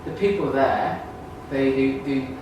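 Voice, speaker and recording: A man's voice: medium pitch, measured pace. A man from Vietnam answering interview questions, hesitant and repeating words, with many ums. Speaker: job candidate. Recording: echoey room, far from mic, noisy.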